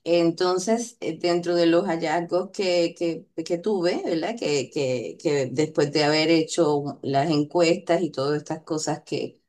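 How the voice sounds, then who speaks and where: A woman's voice: low voice, slow, few pauses, a little mellow. A Puerto Rican woman, a linguistics researcher, explaining her findings unscripted, with many hesitant fillers and questioning tags seeking agreement. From Puerto Rico. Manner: hesitant, frequent "eh" fillers and "¿verdad?" tag questions.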